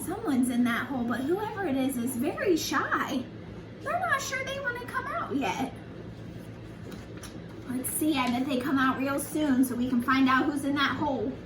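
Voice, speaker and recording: A woman with a very high, lively voice reads a picture book to little children. She is sing-song and unhurried, stopping for chatty asides to her young listeners. The recording sounds roomy and a bit distant from the microphone. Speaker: children's storyteller, early childhood educator. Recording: roomy, distant mic, faint background noise.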